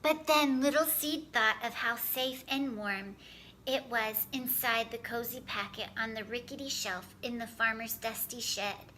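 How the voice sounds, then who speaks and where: A woman storyteller reading a children's picture book aloud, expressive and careful in her phrasing. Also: a little high, measured pace, a little hiss or hum. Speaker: storyteller, host.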